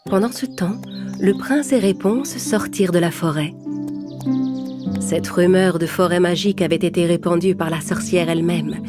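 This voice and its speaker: A woman's voice: fairly low pitch, normal speed. A woman storyteller reading a scripted fairy tale for children. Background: music.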